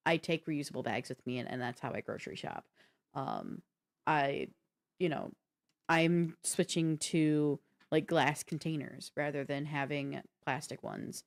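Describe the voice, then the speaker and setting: Low-voiced woman in casual podcast chat. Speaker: co-host of a gaming podcast.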